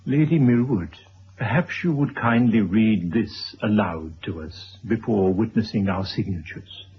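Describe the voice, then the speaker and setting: An actor playing a calm, calculating older gentleman in a radio drama, speaking in a dry, measured, formal way. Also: fairly low pitch, lightly acted. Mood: formal, controlled. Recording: dull, hissy old radio recording.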